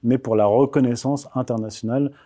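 A man in his thirties from Morocco, whose first language is Amazigh, answering interview questions in a measured, hesitant way with frequent pauses and restarts. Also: medium pitch, fairly even intonation, dark tone. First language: Amazigh (Berber). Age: 30s. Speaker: guest, author.

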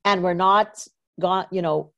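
Low-voiced woman podcast host, chatty. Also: fairly even intonation, unhurried, a bit bright, lo-fi sound. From Trinidad and Tobago. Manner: chatty, many "you know" fillers, storytelling asides. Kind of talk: casual conversational interview.

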